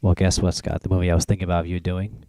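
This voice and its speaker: A man's voice: deep voice, flat delivery, quick pace, dark tone, dry recording, clean studio sound. A man hosting a casual podcast chat, with joking, announcer-like asides. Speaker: podcast host.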